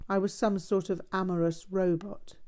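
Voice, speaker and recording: A woman reading a memoir aloud as scripted narration, in a measured, deliberate manner. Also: medium pitch, flat delivery, darkish tone. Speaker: audiobook reader.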